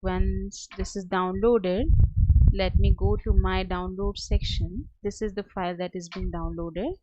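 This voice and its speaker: A woman working as a software trainer, giving a step-by-step screen tutorial in a hesitant, halting way. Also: medium pitch, level tone, a little hiss or hum. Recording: dull lo-fi close dry audio.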